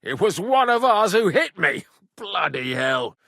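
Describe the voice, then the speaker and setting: Medieval mercenary, gruff, sardonic, earthy, hard-drinking, very lively intonation, dry studio sound, video game dialogue. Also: male voice, sits high, a little slow, no echo, heavily acted. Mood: wry, matter-of-fact. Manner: earthy, blunt, swearing, wry.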